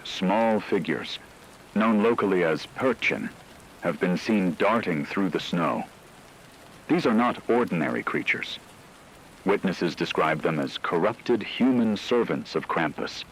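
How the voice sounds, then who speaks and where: A man's voice: low-pitched, a little hiss or hum, rough recording. A man playing a grave radio news anchor, reading a horror broadcast in a solemn, formal, ominous way. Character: grave male radio news anchor reporting supernatural terror.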